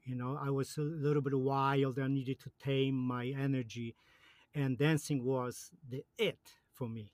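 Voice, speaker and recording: A man from Poland in his fifties with a Polish accent, a guest in a casual interview who speaks in a halting way with many fillers and restarts. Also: medium pitch, flat delivery, darkish tone, no echo.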